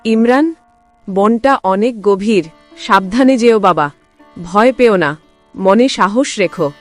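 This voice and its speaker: A woman plays a caring, worried cartoon mother. She speaks very slowly and tenderly at a middle pitch, with plucked string music behind. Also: lively intonation. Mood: tender, concerned. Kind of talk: cartoon story acting.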